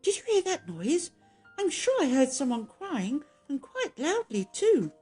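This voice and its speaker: Woman as little girl, storybook character, high pitch, friendly, earnest, lilting, soft-toned. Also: big pitch swings, measured pace, faint music behind. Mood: alarmed.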